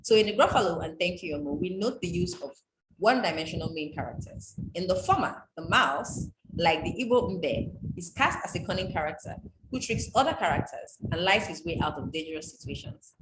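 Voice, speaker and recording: A woman from Nigeria, reading a prepared talk on folktales in a measured, explanatory way. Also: lowish voice, sing-song. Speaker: writer presenting on folktales. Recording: echoey room with background noise.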